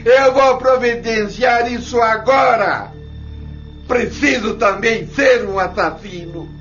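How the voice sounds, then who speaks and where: An actor playing an anguished, vengeful enslaved father, crying out and shouting in an audio drama. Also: very high-pitched, slow pace, exaggerated voice. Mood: vengeful rage. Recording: dull, lo-fi recording with music.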